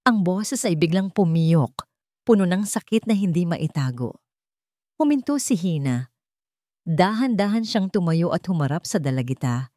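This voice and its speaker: A woman's voice: fairly low pitch, sing-song, normal speed, mellow, dry recording, studio quality. A woman with a Filipino accent narrating a scripted romance story.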